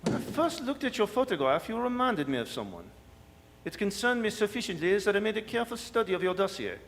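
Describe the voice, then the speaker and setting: A man's voice: sits high, sing-song, a little fast, vocal fry, a bit bright, heavily acted. A man playing a suave, scheming French villain with a French accent, courtly, wordy and sardonic, in a radio drama. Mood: gloating. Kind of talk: staged radio drama performance. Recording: live hall, long reverb, noisy.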